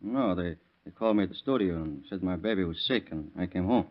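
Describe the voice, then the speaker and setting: Excitable, blunt radio-drama husband, lo-fi acted by a man. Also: low-pitched, fairly quick, lightly acted. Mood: blunt, grumbling. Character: hot-tempered young immigrant-family father, anxious and blunt. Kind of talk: 1930s radio crime drama acting. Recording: dull lo-fi vintage radio recording.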